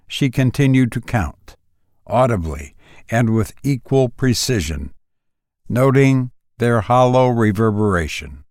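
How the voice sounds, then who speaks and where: A man narrating a literary horror story dramatically, in a grave, deliberate manner with drawn-out pauses. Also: fairly low pitch, darkish tone, no echo, clean studio sound. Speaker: audiobook reader.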